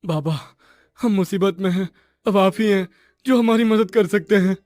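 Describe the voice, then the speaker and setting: A man voicing an anxious young boyfriend in an animated horror story, with an urgent, flustered delivery. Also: high voice, normal speed, dry recording, pitched above the performer's own voice, lightly acted. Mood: pleading.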